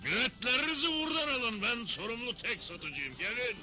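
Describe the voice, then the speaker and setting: A man's voice: extremely high pitch, expressive, a little fast, bright, forceful tone, faint music behind, exaggerated voice. A man voicing a blustering, greedy Western judge in a Turkish cartoon dub, with a theatrical delivery. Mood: hawking.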